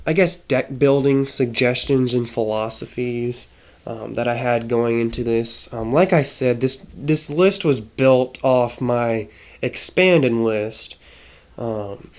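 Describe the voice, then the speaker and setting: A man with a nasal, stuffy voice from a cold, casually explaining a card game deck with many hesitations. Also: mid-range voice. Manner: hesitant, frequent ums and restarts. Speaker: trading card game content creator.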